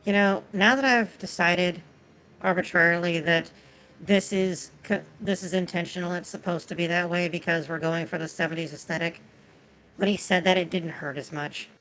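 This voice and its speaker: A woman's voice: fairly low pitch, fairly even intonation, moderate pace, a bit bright, a little hiss or hum, poor audio. A woman whose first language is Japanese, chatting casually and profanely with viewers while streaming a game.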